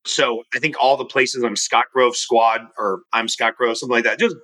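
A man in his forties, a guest on a podcast, talking fast and energetically, rambling with restarts. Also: mid-range voice, steady tone, bright, forceful tone, low-quality recording. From California. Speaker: podcast guest, mortgage professional and coach. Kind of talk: casual interview talk.

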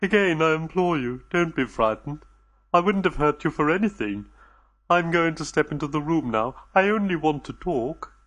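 A man's voice: a little high, lively intonation, normal speed, a bit bright, pitched above the performer's own voice. A man doing a nervous, apologetic alien. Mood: anxious. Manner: anxious, apologetic, hesitant. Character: nervous gentle male alien host.

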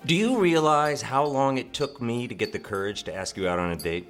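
A man's voice: mid-range voice, fairly quick, faint music behind, close to an everyday voice. An actor playing an eager, courteous young executive courting a woman in a live radio drama. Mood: smitten, earnest. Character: eager, gentlemanly young Princeton-educated executive suitor.

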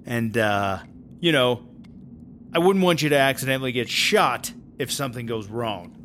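A man playing a middle-aged, folksy prison warden who talks haltingly, clearing his throat in an audio drama interview. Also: medium pitch, lively intonation, a bit bright, slight background noise, close to an everyday voice. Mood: awkward, cautioning. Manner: hesitant, halting, full of ums and throat-clearing. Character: aging, folksy, awkward male prison warden.

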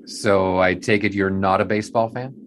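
An actor playing a wry, wordy 1920s sports writer in an audio drama, dryly sardonic in both narration and dialogue. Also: male voice, sits low, steady tone, moderate pace, slight background noise, lightly acted. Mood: dry, skeptical. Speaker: narrator and lead character.

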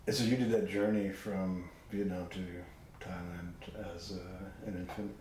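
A man with a very deep voice hosts an interview, pausing often on "um" as he feels his way into each question. He sounds like he is in a very echoey room, with clear background noise behind him. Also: fairly even intonation, a little mellow. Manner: hesitant, many "um"s. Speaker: interviewer host. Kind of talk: conversational interview.

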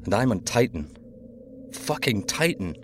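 A man's voice: on the low side, very animated, unhurried, fairly bright tone, slight background noise. A man playing a wry, homesick Scottish astronaut and diver in a naturalistic audio drama, talking colloquially. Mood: wistful. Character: homesick, joking Scottish astronaut and deep-sea diver, male.

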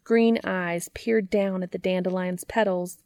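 A woman's voice: on the low side, steady tone, measured pace, darkish tone, no room sound. A woman reading a scripted story aloud as a storybook narrator. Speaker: fan fiction reader and narrator.

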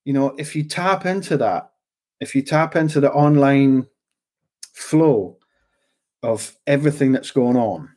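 Scottish guy just chatting away, keeps checking you're following, average pitch. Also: a little slow, pauses often, a little mellow. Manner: rambling, repeats "do you know what I mean". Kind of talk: casual conversation.

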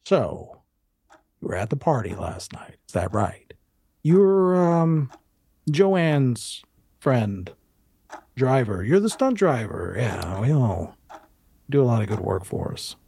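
A man playing a courteous, quietly menacing film studio boss in an audio drama, questioning slowly with insinuating hesitations. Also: mid-range voice, big pitch swings, vocal fry, slightly rough, dry recording, heavily acted. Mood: probing. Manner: halting, deliberate, insinuating questioning. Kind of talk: 1920s-set audio drama roleplay.

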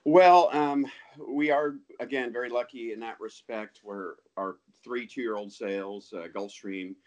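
A man speaking as a guest on a podcast interview, measured and hesitant, with many ums and restarts. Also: medium pitch. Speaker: guest, sales announcer.

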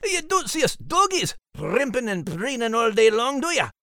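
A woman's voice: a little high, big pitch swings, moderate pace, bright, forceful tone, dry recording, clean studio sound, exaggerated voice. A woman voicing a scrappy male dog who talks in folksy old-sailor slang and teases his co-hosts. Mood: teasing. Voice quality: folksy slang, calls people lass. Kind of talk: playful podcast banter.

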